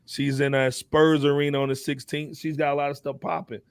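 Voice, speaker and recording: Dry-sounding, higher-pitched man talking hip hop. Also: brisk, gravelly, clear, resonant tone, no room sound. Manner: animated slangy talk with repeated check-in phrases. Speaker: talk show panelist. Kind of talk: casual hip hop commentary.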